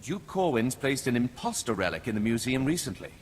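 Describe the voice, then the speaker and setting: A man's voice: mid-range voice, very animated, fairly quick, lightly acted. A man playing a stern, aristocratic spymaster in a video game, giving orders in a formal, commanding manner. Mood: businesslike. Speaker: character actor in a video game. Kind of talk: scripted game dialogue. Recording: long hall reverb, faint noise.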